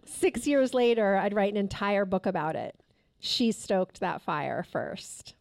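A woman's voice: mid-range voice, expressive, creaky, dry recording. Candid woman reading her memoir. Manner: frank and candid, reads with measured pauses. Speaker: guest author. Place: live taping at a store event.

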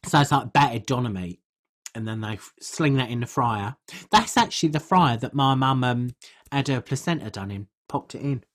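A British woman playing an uncouth, food-obsessed podcast co-host, husky and rambling in colloquial, deadpan comic chat. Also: a bass voice, normal speed. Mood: earnest, matter-of-fact. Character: uncouth, food-obsessed woman telling absurd tales earnestly.

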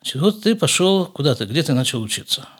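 A middle-aged man, a podcast host, calmly asking his grown son questions in an unhurried family conversation. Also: on the high side, husky.

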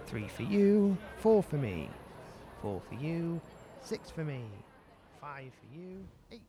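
Bloke doing a sly bossy schoolboy for an amateur audio play, bit high, really up and down. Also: male voice, very animated, slow. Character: Pete, scheming cheeky schoolboy pal in trunks. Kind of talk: amateur audio drama acting.

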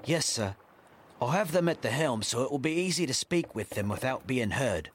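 A man's voice: mid-range voice, animated, moderate pace, slight background noise, close to an everyday voice. A man playing a loyal, dutiful first officer on a sailing ship in an audio drama, formal and deferential in manner. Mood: obedient. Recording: loud ship and sea ambience behind.

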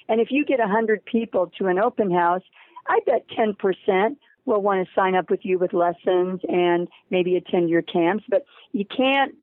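A woman's voice: on the low side, no echo. An American woman speaking emphatically as an interview guest, explaining a program and repeating words for stress. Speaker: guest, head of a horse-riding charity. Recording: narrowband phone-like line.

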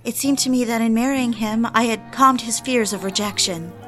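A woman's voice: fairly high pitch, moderate pace, lightly acted. Wistful newlywed woman confiding over music an actress. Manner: confiding, literary, genteel. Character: wistful, obsessive young newlywed woman confiding her story. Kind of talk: first-person dramatic narration for an audio drama. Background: background music.

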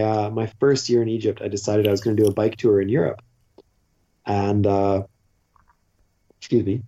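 A Canadian man in his forties tells stories in a loose, rambling way, full of fillers and restarts. His voice is dark and mellow, and the recording is a little muffled. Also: fairly low pitch. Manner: rambling, self-interrupting, with frequent fillers and restarts. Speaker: podcast guest; teacher. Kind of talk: casual conversational storytelling.

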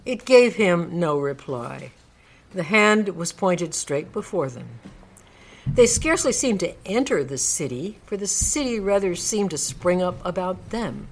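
A woman narrating a classic story in a live dramatic reading, with measured, theatrical phrasing. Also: mid-range voice.

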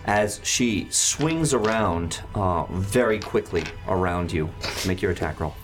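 A man acts as game master for a tabletop session. His pitch moves around freely as he narrates in a casual, conversational way. Music plays clearly behind him. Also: animated, a little slow. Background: background music.

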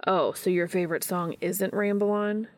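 A woman's voice: mid-range voice, fairly even intonation, moderate pace, no room sound, lightly acted. Dry, long-suffering brother, read by a woman. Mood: dry teasing. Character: long-suffering, teasing adult younger brother.

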